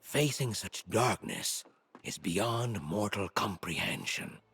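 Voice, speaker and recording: Deep, gravelly, solemn sage. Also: male voice, wildly swooping pitch, slow, fairly bright tone, exaggerated voice. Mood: grave, cautioning. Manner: solemn, formal, portentous. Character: grave, pious male sage and mentor. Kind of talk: scripted fantasy video game dialogue. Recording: faint music behind the voice.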